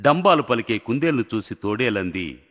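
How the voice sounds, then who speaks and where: A man's voice: medium pitch, big pitch swings, normal speed, fairly bright tone. A man narrating a scripted moral story for children as the storyteller. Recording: long hall reverb, faint music.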